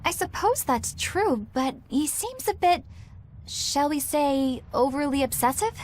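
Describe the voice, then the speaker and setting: A prim, high-voiced young lady. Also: a little slow, a little hiss or hum. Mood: earnest. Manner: proper, expressive. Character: prim, polite, earnest young woman. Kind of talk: English anime game dub acting.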